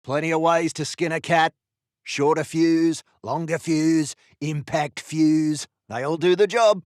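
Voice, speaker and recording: A man's voice: fairly high pitch, fairly even intonation, a little slow, no room sound, clean studio sound, close to an everyday voice. A man playing a seasoned, grumbling artillery gunner in a war game, matter-of-fact in manner. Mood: instructive. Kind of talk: video game unit voice lines.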